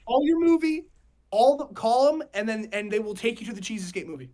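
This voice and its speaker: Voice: mid-range voice, a little fast, warm tone. A young adult podcast host chatting casually in a group, jokey and given to repeating phrases.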